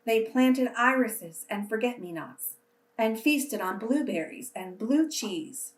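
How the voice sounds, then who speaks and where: Slow-paced woman reading to kids, roomy sound. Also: on the high side, expressive. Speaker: read-aloud storyteller for children. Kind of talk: children's picture book read-aloud with casual introduction. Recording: roomy echo, distant microphone.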